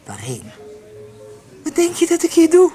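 A woman's voice: extremely high pitch, wildly swooping pitch, moderate pace, warm tone, soft background music, exaggerated voice. A brash, teasing bar girl. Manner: teasing, blunt. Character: brash cynical young bar prostitute. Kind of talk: radio play acting.

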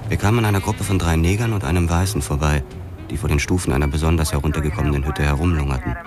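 A man's voice: very deep voice, rapid-fire, music in the background. An actor playing a world-weary police detective who narrates his story in a hardboiled crime radio drama. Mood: grim, terse.

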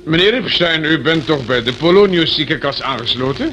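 An actor playing a paternal, officious police inspector who repeats himself, in a noisy old radio play recording. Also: male voice, fairly high pitch, expressive, a little fast, pushed and bright. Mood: paternal, warning.

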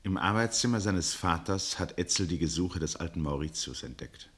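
Narrator for a 60s german radio drama, low pitched, measured, articulate, literary style. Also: male voice, expressive, breathy edge. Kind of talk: scripted literary narration, 1960s radio play.

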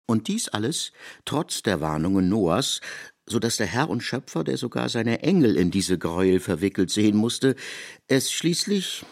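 A man's voice: lowish voice, very animated, normal speed, vocal fry. A man delivering scripted literary narration for radio, telling it expressively and with emphasis.

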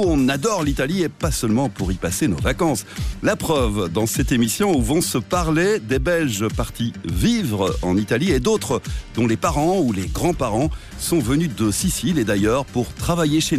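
A man's voice: mid-range voice, a little mellow. A man with a Belgian French accent hosting a radio talk show, jovial and playful with his guests. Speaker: radio host. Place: radio studio.

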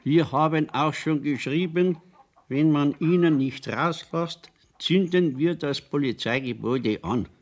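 An actor playing a simple, earnest Bavarian countryman in a radio play, speaking haltingly as he composes a letter, with a Bavarian accent. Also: a little high. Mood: earnest, indignant. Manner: slow, halting dictation to himself as he writes. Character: simple, devoted rural Bavarian man laboriously writing a letter. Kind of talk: radio play acting in dialect.

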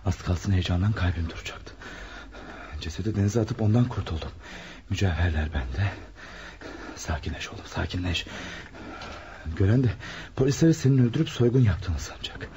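An actor playing a nervous, scheming opportunist with a jittery, muttering way of talking in a Turkish radio drama. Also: male voice, sits low. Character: nervous scheming male opportunist hiding stolen loot. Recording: narrow-band radio recording, faint hiss.

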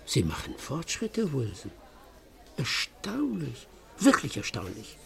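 Pompous master detective, swooping delivery. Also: male voice, mid-range voice, wildly swooping pitch, creaky, a bit bright, exaggerated voice. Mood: brisk, superior. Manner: pompous, brisk, commanding. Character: self-assured, boastful English master detective. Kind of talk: German radio play acting.

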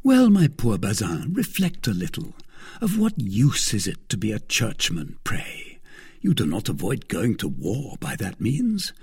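A gallant, confident young soldier from a storybook, acted by a male reader. He banters breezily, his pitch slightly raised and very lively, with a soft huskiness. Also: a little slow, husky, full character voice. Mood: teasing. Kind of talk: storybook character dialogue.

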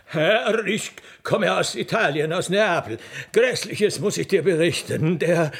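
A man's voice: high voice, lively intonation, a little slow, vocal fry, slightly rough, heavily acted. A man voicing a servile winged messenger who reports in a horrified, declamatory way in a theatrical radio play. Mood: scandalized. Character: winged male messenger, servile, scandalized, luridly reporting sin.

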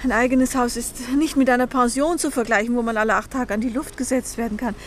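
An actress playing a timid, yearning young companion in a radio play, speaking earnestly and meekly. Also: female voice, on the high side, fairly even intonation, fast talker, slight background noise, natural voice. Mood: wistful, yearning. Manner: earnest, meek, wistful. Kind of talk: German radio play acting.

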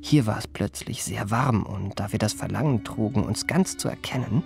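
A man's voice: fairly low pitch, moderate pace, creaky. An actor playing an elegant young male confidence trickster who recounts his life in ornate, self-satisfied, mannered formal language for a literary radio play. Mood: confiding, suave. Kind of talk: literary first-person radio play narration. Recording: music behind the voice.

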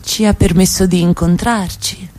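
An actress playing a coy middle-class wife given to flirtatious games in a radio play. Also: female voice, fairly low pitch, fairly even intonation, moderate pace, darkish tone, lightly acted. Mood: seductive.

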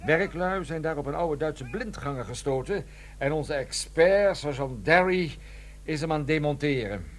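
A man's voice: a little high, lively intonation, normal speed, close to an everyday voice. An actor playing a chatty, cheerful military desk man with a dry wit in a radio play. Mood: cheerful, wry.

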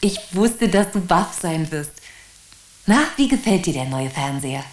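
A woman's voice: lowish voice, expressive, a little slow, reverberant. A woman acting an overworked, breezy mother in a German radio play, talking in a chatty way. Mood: pleased, breezy.

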